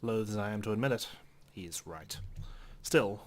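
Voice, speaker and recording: Low-voiced man reading a game story aloud. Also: moderate pace. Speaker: let's player narrating a visual novel. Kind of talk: reading game text aloud with brief commentary.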